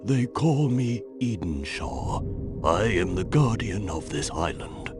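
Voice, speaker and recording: A man's voice: on the low side, big pitch swings, slow. A man acting a solemn, cryptic witch doctor and guardian in a video game, with a grave, portentous delivery. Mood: grave, foreboding. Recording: game music behind.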